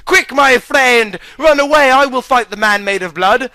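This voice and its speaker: Guy doing a high excited boy wizard, kinda shrill, super dry sound. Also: moderate pace, dry recording. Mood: dramatic. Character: young male wizard hero, frantic.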